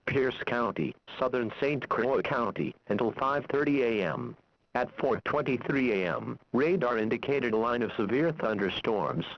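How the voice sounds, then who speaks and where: A man's voice: medium pitch, dry recording. Synthesized male weather radio announcer: formal, impersonal bulletin read, dry and muffled lo-fi radio sound. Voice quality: synthesized text-to-speech voice. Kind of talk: scripted weather warning bulletin. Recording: dull lo-fi weather radio broadcast.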